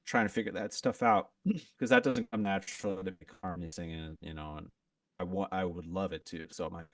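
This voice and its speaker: Low-voiced man chatting off-mic. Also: brisk, recorded from a distance. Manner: relaxed, rambling, lots of "like" and restarts. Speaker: podcast guest, musician. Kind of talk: casual chat.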